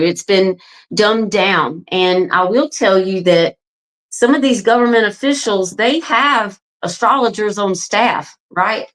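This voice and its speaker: A woman's voice: mid-range voice, low-quality recording. Earnest chatty woman in her forties. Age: 40s. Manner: earnest, chatty, addresses viewers as folks; frequent ums and "right?" tags. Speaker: astrology and wellness coach. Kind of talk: casual direct-to-camera promo talk.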